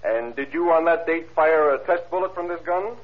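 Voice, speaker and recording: An actor playing a formal, methodical courtroom prosecutor in 1930s radio style, questioning deliberately and crisply. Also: male voice, a little high, natural voice. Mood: formal, probing. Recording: muffled old radio recording.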